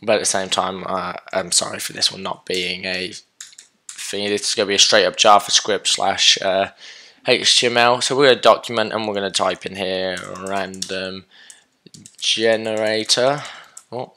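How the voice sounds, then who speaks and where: Sick young man, low hoarse voice. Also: steady tone, moderate pace, bright, forceful tone. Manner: casual and chatty, with many fillers and self-corrections. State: sick, sore throat, losing his voice. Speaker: coding tutorial host. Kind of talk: casual screen-recorded coding tutorial.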